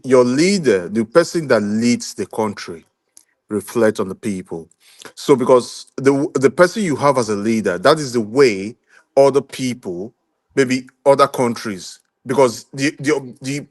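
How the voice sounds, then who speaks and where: A man joins the live panel with a dry, close sound. His voice is of middle pitch, and he often stops and restarts as he builds his point. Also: dry recording. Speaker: panel guest. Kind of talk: casual live panel chat.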